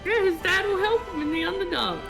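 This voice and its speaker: A woman's voice: very high-pitched, sing-song, a little fast. A woman chatting casually and jokingly as a co-op gaming partner on a stream. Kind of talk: casual joking chat about a game. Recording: music clearly behind the voice. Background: music.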